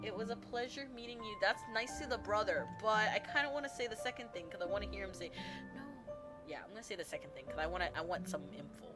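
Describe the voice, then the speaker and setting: A young woman streaming a game, gushing and rambling like an excited fangirl. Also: medium pitch, fast talker. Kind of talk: excited live game commentary. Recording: game music playing under the voice. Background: game music.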